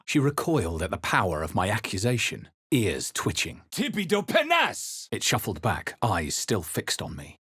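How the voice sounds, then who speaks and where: A man playing a wary, brooding fantasy adventurer in a video game, with a husky voice and a grave, measured delivery. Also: fairly low pitch, wildly swooping pitch, a bit bright, dry recording, studio quality, exaggerated voice. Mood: fierce incantation. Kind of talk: dramatic fantasy game narration and character lines.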